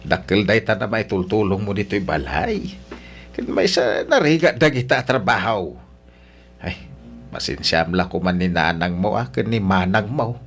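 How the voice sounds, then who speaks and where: A man's voice: fairly low pitch, animated, normal speed, a bit bright, faint music behind. A man with an Ilocano Filipino accent playing a kindly, pious old father-in-law in a radio drama, giving fatherly, encouraging counsel. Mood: gentle, pleased. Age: older.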